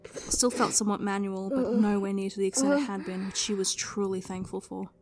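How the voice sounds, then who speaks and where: A woman's voice: mid-range voice, brisk, a little mellow. A woman narrating a story as a dramatic reading, in an emotive, intense manner. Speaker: narrator and writer. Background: prominent music.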